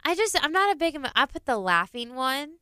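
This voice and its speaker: Bubbly, bright-voiced woman in her thirties. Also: high voice, fast talker, no room sound, studio quality. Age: 30s. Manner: laughs often, bubbly and animated. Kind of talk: casual chat.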